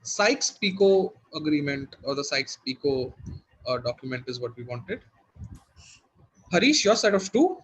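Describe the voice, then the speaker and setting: A man's voice: on the high side, very animated, normal speed, breathy edge, low-quality recording. A man working as quiz master, reading formally worded questions to contestants and giving brief verdicts.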